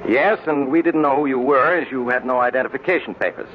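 An actor playing a patient, reassuring doctor in an old-time radio drama, explaining things in a measured, gently paternal way. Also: male voice, mid-range voice, lightly acted. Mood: calm, explanatory. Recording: dull old radio recording, faint noise.

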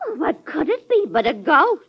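A woman playing a superstitious, excitable servant in an old radio drama, with a dramatic, insistent manner. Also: high voice, moderate pace. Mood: spooked, insistent. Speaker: actor. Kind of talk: classic American radio drama acting. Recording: dull old radio recording.

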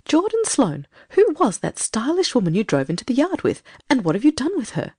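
A woman's voice: a little high, wildly swooping pitch, fairly quick, darkish tone, slightly rough, no echo, studio quality, full character voice. A woman doing a bossy scolding farm wife. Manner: scolding, flustered.

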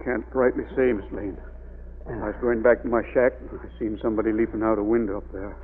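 A man's voice: on the low side, fairly even intonation, quick pace, lightly acted. An actor playing a timid, obliging rustic man with folksy, plainspoken dialect in a 1940s radio drama. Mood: shaken, obliging. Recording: noisy, thin phone-like vintage radio recording.

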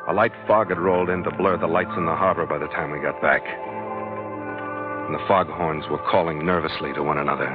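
An actor playing a tough, romantic sea captain in a 1940s radio adventure, speaking in a terse, wry way. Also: male voice, a bass voice, fairly even intonation, normal speed. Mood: wistful narration. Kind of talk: 1940s radio adventure acting and first-person narration. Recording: muffled old radio recording with music.

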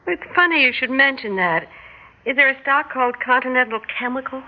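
An actress with an American accent playing a calm suburban housewife and mother who has hunches, in a radio drama. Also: high voice, fairly quick. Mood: composed. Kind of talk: radio drama narration and dialogue. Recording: muffled radio recording with prominent music.

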